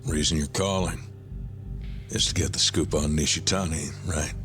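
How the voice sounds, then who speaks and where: An actor playing a disillusioned former cop in a video game cutscene, speaking in a dry, probing way. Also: male voice, extremely low pitch, fairly even intonation, normal speed. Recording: dialogue over game music.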